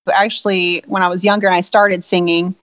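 A woman who fronts a band, with a low voice, answering interview questions candidly and reflectively. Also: little pitch movement, fairly quick, fairly bright tone, dry recording.

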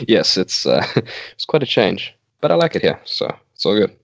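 Man, 20s, Afrikaans native speaker from South Africa; very low voice, relaxed and laughing, dry muffled recording. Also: a little slow, gravelly, dry recording. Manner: relaxed, laughs often, hesitant restarts. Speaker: band bassist. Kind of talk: casual interview chat.

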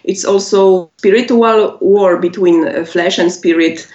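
A woman's voice: mid-range voice, a little mellow. Polish woman, echoey muffled line. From Poland. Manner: halting non-native English with frequent "uh" and laughs. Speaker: musician guest. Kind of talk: interview answers.

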